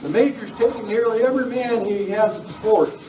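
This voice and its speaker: Man as an eager high-voiced railroad hand. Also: fairly quick, warm tone, lightly acted. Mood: eager, bustling. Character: eager folksy train fireman, bustling. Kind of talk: amateur old-time western radio play acting. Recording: roomy distant live recording with noise.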